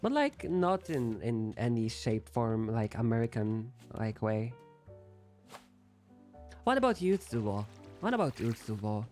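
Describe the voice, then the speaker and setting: A man's voice: medium pitch, sing-song, measured pace, darkish tone, soft background music. Playful rambling young male streamer. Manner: rambling, playful, self-deprecating. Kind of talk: casual chat while gaming.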